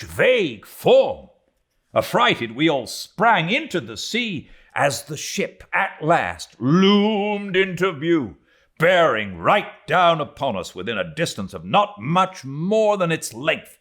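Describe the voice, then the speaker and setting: A British man giving a dramatic reading of a literary audiobook, theatrical in delivery, moving between swelling intensity and hushed tones. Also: on the high side. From Britain. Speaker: audiobook narrator.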